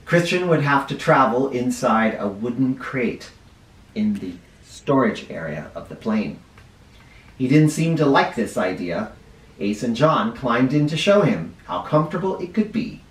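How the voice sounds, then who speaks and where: A teacher reads a storybook to children with a lively, rising and falling voice. He pauses to explain words along the way. The sound is echoey and picked up from a little distance. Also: mid-range voice. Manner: expressive, stops to explain words to kids. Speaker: first grade teacher reading aloud. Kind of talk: children's read-aloud with asides. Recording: roomy echo, mic at a distance.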